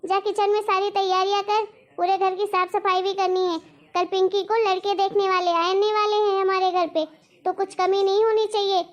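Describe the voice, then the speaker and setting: A woman voicing a greedy, bossy middle-aged mother-in-law in a Hindi cartoon drama, nagging and insistent, with a pitch-shifted voice. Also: flat delivery, moderate pace. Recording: dull lo-fi sound.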